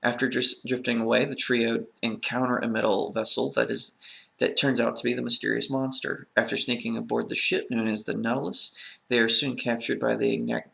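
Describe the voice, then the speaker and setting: A man's voice: medium pitch, level tone, a little fast, vocal fry, sharp tone. A man with a crackly voice from a sore throat, talking as an earnest film review host in a casual vlog style.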